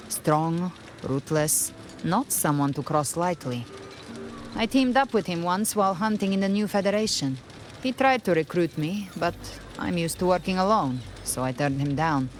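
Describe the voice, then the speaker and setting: An actress playing a self-reliant young female adventurer, calm and gently supportive, in a video game. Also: fairly low pitch, lightly acted.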